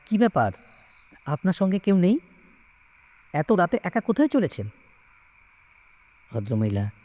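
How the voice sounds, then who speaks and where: A man reading a story aloud in a measured, expressive, suspenseful way with dramatic pauses. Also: on the high side. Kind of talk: dramatic scripted story narration.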